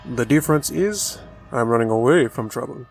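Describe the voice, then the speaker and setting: An actor playing a bitter, guarded renegade on the run, dry and terse, in a fantasy audio drama. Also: male voice, medium pitch, animated, moderate pace, creaky, soft background music.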